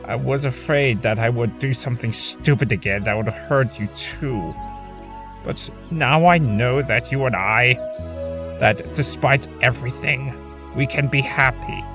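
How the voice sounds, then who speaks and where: A man playing a remorseful, lovestruck male trickster spirit in an audio drama, speaking in a halting, earnest way, with music under the voice. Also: medium pitch, close to an everyday voice. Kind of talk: fan audio drama acting.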